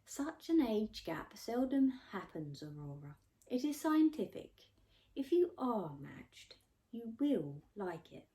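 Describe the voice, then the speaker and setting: A woman voicing a firm, fond older nanny in a storybook, with a brisk, coaxing, gently teasing manner. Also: a little high, big pitch swings, pitched above the performer's own voice.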